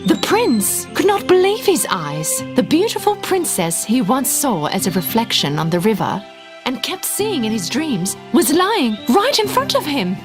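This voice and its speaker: Female narrator for kids' fairy tales: fairly high voice, very animated and expressive, music underneath. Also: unhurried. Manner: expressive storytelling. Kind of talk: scripted children's fairy tale narration.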